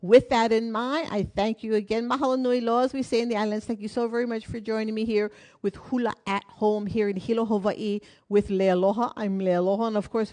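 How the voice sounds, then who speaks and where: A woman's voice: medium pitch, a little mellow. A woman teaching a live online hula class from her home in Hawaii, counting beats and talking through each move in a friendly, encouraging way.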